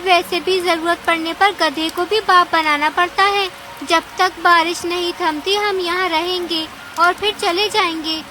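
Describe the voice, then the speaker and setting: A woman's voice: very high voice, steady tone, a little slow, sharp tone, exaggerated voice, low-quality recording. A woman voicing an anxious young female mouse in a cartoon story, plaintive and fretful. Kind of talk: Hindi cartoon story dialogue. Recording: clear rain and ambience noise.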